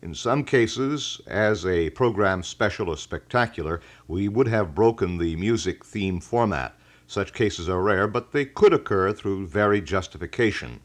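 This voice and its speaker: Very deep-voiced formal American radio man. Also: expressive, unhurried. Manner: formal, wordy, old-fashioned announcer phrasing. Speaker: radio talk show host. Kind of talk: live local radio broadcast, formal announcing and reading letters. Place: radio studio.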